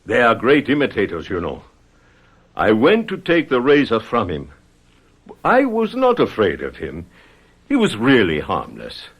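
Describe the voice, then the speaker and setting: A guilt-ridden man in a radio mystery, confessing earnestly and excusing himself. His medium-pitched voice swings up and down widely as he pleads. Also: wildly swooping pitch, unhurried, pauses often, husky, dull audio, heavily acted. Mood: earnest recounting. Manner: earnest, confessional, self-justifying. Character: remorseful, pleading man confessing to keeping a killer ape. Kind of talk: radio mystery drama acting.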